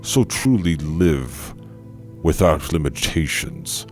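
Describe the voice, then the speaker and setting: A wealthy gala host speaks in a slow, extremely deep, gravelly voice over faint music. He is jovial and theatrical in this audio drama, savoring his grand phrases with a silky menace. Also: animated, a little mellow, heavily acted. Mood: grandly gracious. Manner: grandiose, savoring, drawn-out delivery. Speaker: banquet host.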